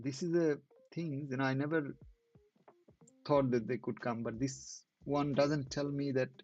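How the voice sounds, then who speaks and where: A man whose first language is not English, hosting a trading channel and commenting casually on live charts in halting speech with many restarts. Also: medium pitch, a little mellow, lo-fi sound.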